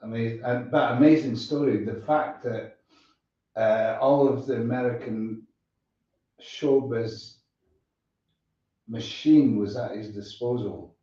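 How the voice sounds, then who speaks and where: Mellow man interviewer in a very echoey room. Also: medium pitch, fairly even intonation, slow, long pauses. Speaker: interviewer and show host. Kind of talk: casual chat. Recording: very echoey, distant, dull sound.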